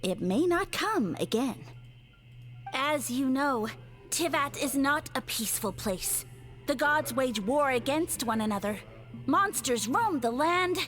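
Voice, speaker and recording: A self-important fungus ruler who speaks in lofty, theatrical tones and treats everyone as beneath him. The voice sits high and swings widely in pitch, with faint game music underneath. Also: female voice, animated, slow pace, fairly bright tone, full character voice. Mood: grandiose. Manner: haughty, theatrical, condescending. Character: pompous grandiose mushroom lord, villainous. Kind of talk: game voice acting.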